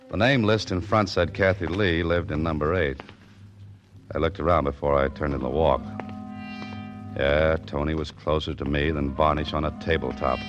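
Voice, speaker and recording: A wry, hard-boiled detective. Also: male voice, extremely low pitch, muffled recording. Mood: narrating. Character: wisecracking hard-boiled male detective narrator. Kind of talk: 1940s radio acting.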